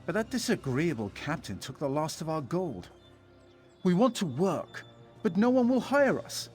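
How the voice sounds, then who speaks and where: A haughty scholar-adventurer in a fantasy video game, rather high in pitch and very lively in intonation. He is wordy, pompous and forever aggrieved, with faint music behind him. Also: normal speed. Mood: indignant. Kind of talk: video game character dialogue.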